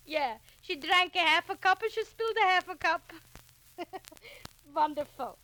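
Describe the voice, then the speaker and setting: A warm, flustered immigrant mother in a 1940s radio comedy-drama. Her voice is high and bright, with a New York Yiddish accent. She repeats herself effusively and turns her phrases around. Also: expressive, a little slow, exaggerated voice, poor audio. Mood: cheerful, gushing.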